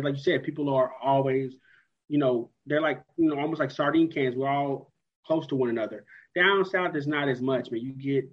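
A man hosting a casual chat, talking in an easygoing, chatty way. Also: mid-range voice. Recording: dull lo-fi sound.

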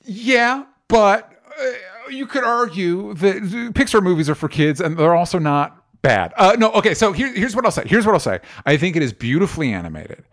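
A man's voice: sits high, very animated, normal speed, fairly bright tone. A man co-hosting a film review show, chatting casually and argumentatively, swearing freely and acting out imagined exchanges to press a point.

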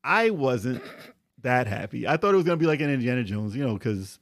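Male podcast co-host, medium-pitched, informal and opinionated, recorded dry and close. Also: big pitch swings, fairly quick, dry recording. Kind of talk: casual chat about movies.

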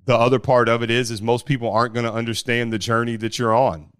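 A man with a big, deep voice, talking bluntly about running a startup in a casual podcast conversation. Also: flat delivery, fast, smooth, dry recording, clean studio sound. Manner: blunt and forceful, with mild swearing. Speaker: startup founder.

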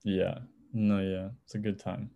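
A young man in college, a music producer, talking as a podcast guest in a relaxed, rambling way. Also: deep voice, a little slow, vocal fry, dull audio. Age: young adult. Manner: relaxed, rambling, many "it's like" fillers. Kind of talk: casual interview chat.

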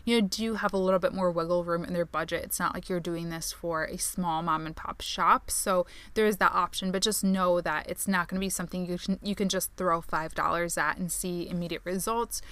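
A woman's voice: fairly low pitch, steady tone, fairly quick, hardly any pauses, fairly bright tone, no echo. Chatty podcast host woman, tired voice. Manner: chatty, filler-heavy, upbeat. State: tired, strained voice. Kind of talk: solo podcast monologue on advertising.

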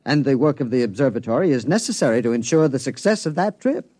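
An actor playing an earnest, resourceful observatory scientist, polite and articulate, in old-time radio drama style. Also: male voice, medium pitch, lively intonation, quick pace, mellow, dry recording, natural voice. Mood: urgent, earnest.

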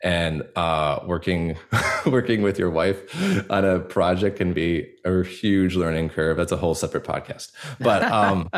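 Man, deep voice, interview guest, earnest, halting, self-deprecating, conversational. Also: fairly even intonation. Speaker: podcast guest, audio drama creator.

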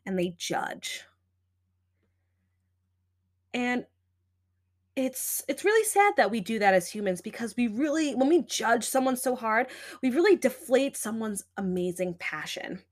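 Chatty, animated young woman podcaster. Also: on the high side, moderate pace. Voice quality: loud talking voice. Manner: animated, emphatic, chatty with many fillers. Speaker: podcast host. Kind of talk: casual solo podcast talk.

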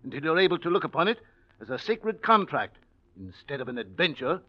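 An actor playing a stern, controlling, wealthy old uncle in 1940s radio drama, imperious and deliberate. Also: male voice, a little high, big pitch swings. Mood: stern, indignant. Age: older. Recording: dull vintage radio recording.

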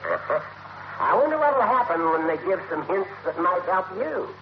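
An actor playing an older American judge, formal and teasing, in a 1940s radio comedy. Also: male voice, sits high, sing-song, moderate pace. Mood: dryly needling. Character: dry, needling old male judge. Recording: muffled, noisy old radio recording.

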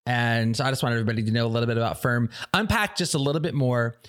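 A man's voice: mid-range voice, quick pace, fairly bright tone. A man, a pastor hosting an interview in a friendly, enthusiastic, rambling way. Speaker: pastor, podcast host. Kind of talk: casual interview conversation.

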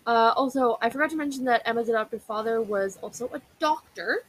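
A woman's voice: fairly high pitch, fairly quick, a little hiss or hum, rough recording. Chatty young woman telling history. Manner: chatty, lots of "like" and fillers, wry asides. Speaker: history podcast host. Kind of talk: casual history storytelling.

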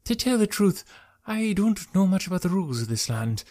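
A man acts out a timid male traveller from a fantasy audiobook. The voice sits high and sounds flustered, with an indignant, stammering manner. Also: very animated, normal speed, warm tone. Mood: apologetic. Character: panicky, indignant, cowardly young male traveller.

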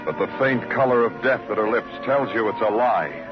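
A man's voice: mid-range voice, animated, moderate pace, natural voice. Man acting a hardboiled noir cop, dry terse brooding, dull old radio recording w music behind. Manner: terse and dry, brooding poetic delivery when narrating. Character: world-weary, hardboiled male city police detective narrating. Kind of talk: 1940s-50s radio noir narration and acting.

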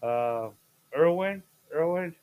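A man in his fifties, a guest chatting casually on a podcast, playful and jokey, with sudden loud bursts and laughs mid-sentence. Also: on the high side, animated, takes it slowly, smooth. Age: 50s. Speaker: guest, host's father.

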